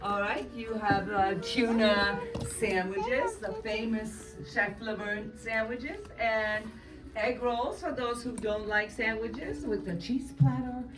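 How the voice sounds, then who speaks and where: A woman's voice: on the high side, fairly even intonation, slow pace. A woman teacher hosting a school performance, announcing, narrating dramatically and prompting children in an encouraging, directing way, recorded from a distance. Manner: encouraging, directing, repeats lines loudly for children. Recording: music behind, picked up from a distance.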